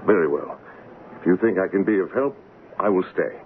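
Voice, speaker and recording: An actor playing a courteous, reticent English gentleman in a 1970s radio drama, speaking in a polite, measured way. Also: deep voice, natural voice. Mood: reserved. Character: reserved, courteous English gentleman, cousin to a king. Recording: noisy narrow-band vintage radio broadcast.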